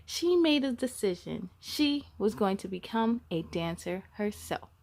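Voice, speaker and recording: A woman hosting a storytime, reading a picture book aloud to children in an animated way and speaking directly to young listeners. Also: mid-range voice, measured pace, vocal fry. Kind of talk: children's read-aloud with asides.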